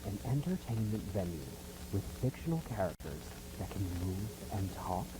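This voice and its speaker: A man playing a polished company founder giving a scripted, salesmanlike promotional pitch full of rhetorical questions, with some noise on the recording. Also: very animated, moderate pace, dark tone, rough recording.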